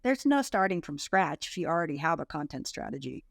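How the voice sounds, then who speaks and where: A woman's voice: sits low, sing-song, fairly quick, gravelly, no echo, studio quality. A woman speaking as an expert podcast guest, conversational, informal and plain-spoken with emphatic points. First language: English. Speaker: podcast guest, content marketing consultant.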